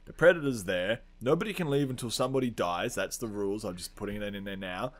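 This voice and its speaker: A man's voice: lowish voice, quick pace. A man co-hosting a podcast in casual comedic chat, spinning absurd theories in earnest and often saying dude. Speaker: podcast co-host. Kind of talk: casual comedic chat, pop-culture debate.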